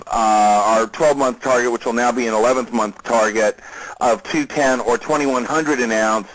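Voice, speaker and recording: Man giving measured stock market commentary. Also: mid-range voice, rough recording. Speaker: market analyst hosting a client call. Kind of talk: informal market commentary on a conference call.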